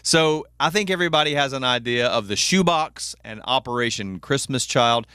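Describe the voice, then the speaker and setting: A man's voice: medium pitch, expressive, moderate pace, bright, forceful tone, clean tone, dry recording, studio quality. A man hosting a radio interview, with brief affirming interjections. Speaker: radio host.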